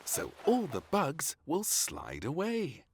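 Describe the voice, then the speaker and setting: Man as storybook maid, woman, bossy, brisk manner, raised pitch, animated delivery. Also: a little hiss or hum, heavily acted. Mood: brisk, instructive. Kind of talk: children's storybook character reading.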